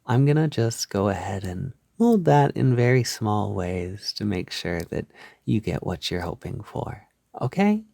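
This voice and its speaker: A man leading a soft-spoken guided hypnosis, soothing and reassuring, gently repeating his phrases on a close mic. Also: on the low side, sing-song, unhurried, vocal fry, no echo. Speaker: hypnosis audio creator guiding the listener.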